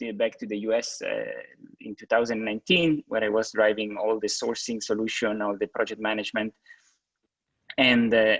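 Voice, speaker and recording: A man who grew up in Switzerland speaks from a low register, answering as a guest executive on a video call. He talks haltingly, with fillers and restarts. The sound is dry and close, with no room around it. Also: dry recording, rough recording. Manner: hesitant, many restarts and fillers. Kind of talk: webinar interview answers.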